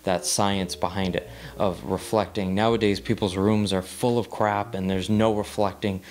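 A man's voice: lowish voice, flat delivery, moderate pace, a little mellow. A young man seated in a small living room, reviewing audio gear in a casual talking-head video, rambling with frequent ums and repeated words while gesturing with his hands.

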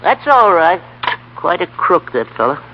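A man's voice: sits high, big pitch swings, measured pace, husky, full character voice. An actor playing a homespun, easygoing old American grandfather in a 1930s radio play. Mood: matter-of-fact. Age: older. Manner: homespun, plain-spoken. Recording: dull vintage 1930s radio broadcast.

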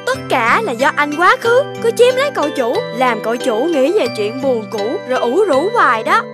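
A fretful young cartoon flower, complaining in a high, animated voice. Music plays clearly behind it. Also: female voice, moderate pace. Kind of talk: cartoon dubbing.

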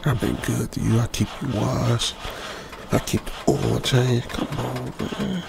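A man's voice: medium pitch, unhurried, vocal fry, heavy background noise. An American man playing a stranded young trucker in a radio drama, uneasily muttering to himself in colloquial, repetitive phrases. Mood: pleading, tense. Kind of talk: old-school radio drama monologue, improvised feel.

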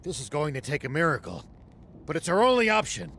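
An actor playing a sober, wary old sheriff in a video game, measured and skeptical. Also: male voice, a little high, huge pitch swings, gravelly, sharp tone, slight background noise, full character voice. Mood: doubtful. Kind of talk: video game cutscene acting.

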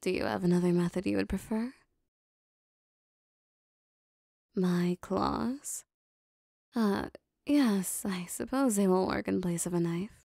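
Casting: actress as possessive, adoring demoness for intimate roleplay audio. Soft, warm dark tone, very close dry recording, formal diction. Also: female voice, mid-range voice, dry recording. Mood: flustered eager. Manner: soft, breathy, formal archaic phrasing, lingering pauses. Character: lovestruck possessive female demon bound to a sorcerer.

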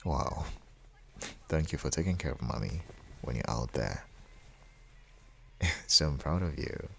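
An affectionate young father and husband acted by a man for an intimate ASMR roleplay. His voice sits extremely low, with a heavy husky breathiness. He speaks slowly and softly, teasing gently and often slipping into a whisper. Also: lively intonation, full character voice. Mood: warm, amused. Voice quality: very deep, husky, gravelly, breathy. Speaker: audio roleplay performer.

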